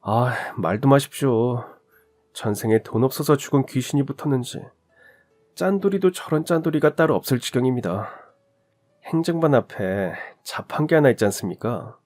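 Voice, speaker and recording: A man voicing a weary young army private who complains in a slow, matter-of-fact way, in a lively storytelling read. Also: mid-range voice, soft background music, close to an everyday voice. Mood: exasperated.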